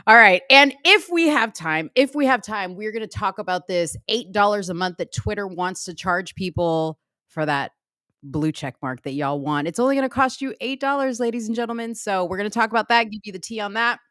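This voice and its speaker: A woman's voice: medium pitch, lively intonation, pushed and bright, no room sound, studio quality. A woman co-hosting a casual livestream talk show, playful and joking, greeting the chat and running through the topics. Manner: playful, laughing, quick asides. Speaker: podcast co-host.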